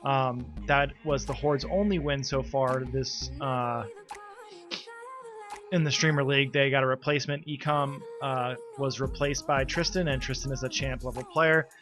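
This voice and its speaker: A man with a stuffy, congested voice gives a loose, halting recap as league organizer, with many ums. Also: medium pitch, flat delivery, music in the background. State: congested, coming down with a cold. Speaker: league organizer and team player. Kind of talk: casual spoken recap.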